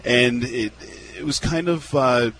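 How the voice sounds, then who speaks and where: A man's voice: medium pitch, takes it slowly, fairly bright tone, slight background noise. A male radio host chatting upbeat and casual in a live interview at an outdoor fairground. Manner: upbeat, chatty, repeats words.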